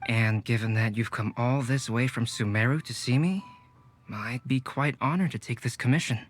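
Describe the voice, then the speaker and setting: An actor playing a calm, courteous young male alchemist and artist who speaks softly and measuredly. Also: mid-range voice, faint music behind, close to an everyday voice. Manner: soft-spoken, measured, courteous. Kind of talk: game voice acting.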